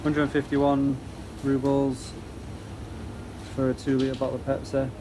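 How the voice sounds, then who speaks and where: A man's voice: mid-range voice, a little slow. A man talks his way through a supermarket in an easygoing, chatty manner. His voice stays fairly level, with little rise and fall. A heavy wash of store ventilation noise sits under everything, as if filmed on a handheld phone. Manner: relaxed, chatty, pointing out prices. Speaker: vlogger touring a supermarket. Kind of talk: casual walk-through narration. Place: large supermarket indoors, off camera. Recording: loud store air-conditioning hum behind.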